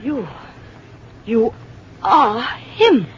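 A woman's voice: fairly high pitch, big pitch swings, extremely slow, heavily acted. A woman acting in an old-time radio drama as a sardonic, plucky American schoolteacher, theatrical and wry in her delivery. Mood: stunned, fearful. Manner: theatrical, wry, talking to herself. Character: sardonic, plucky American schoolteacher driving alone, shaken but defiant. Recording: dull, noisy old radio recording.

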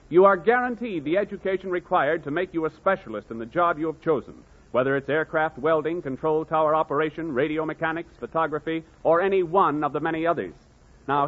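A male announcer delivering a brisk, persuasive recruiting pitch on a 1940s radio show. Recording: tinny old radio broadcast.

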